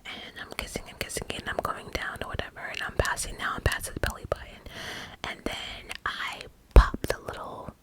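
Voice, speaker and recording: A woman in her forties telling a frank, self-mocking story in a steady whisper, full of asides. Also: fairly quick. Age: 40s. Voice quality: unvoiced whisper throughout. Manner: candid, frank, laughs at herself, many asides.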